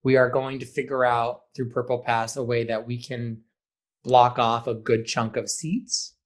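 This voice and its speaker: A man's voice: medium pitch, little pitch movement, unhurried. An American man speaking as a theater director guest in a conversational podcast interview, explaining animatedly and acting out bits of dialogue. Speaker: high school theater program director and guest.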